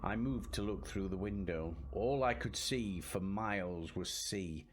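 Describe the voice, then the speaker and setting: Man playing low-voiced, anxious young gentleman captive. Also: natural voice. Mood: uneasy. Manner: earnest, literary, measured narration. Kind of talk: radio drama narration and dialogue. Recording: noisy recording with ambient sound.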